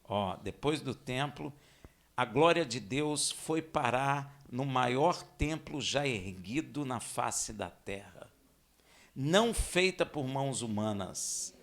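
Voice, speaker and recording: Male preacher, Brazilian accent, slow delivery, creaky voice, conversational sermon, rhetorical questions, dramatic emphasis. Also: mid-range voice, fairly bright tone. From Minas Gerais, Brazil. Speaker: congregation teacher and preacher. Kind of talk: expository sermon with scripture reading.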